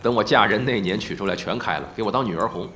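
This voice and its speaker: A man's voice: fairly low pitch, fast talker, a bit bright, a little hiss or hum, lightly acted. A man playing a laid-back, teasing film star who is fond of his juniors, in a modern audio drama. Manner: teasing, casual, playfully breezy.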